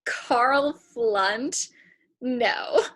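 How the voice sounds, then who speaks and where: A woman's voice: on the high side, very slow, fairly bright tone, heavily acted. A woman playing an idealistic, stubborn young girl in a comedy play reading, emphatic and indignant. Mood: skeptical. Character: idealistic, stubborn young girl meeting her future selves. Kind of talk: comedy play read aloud.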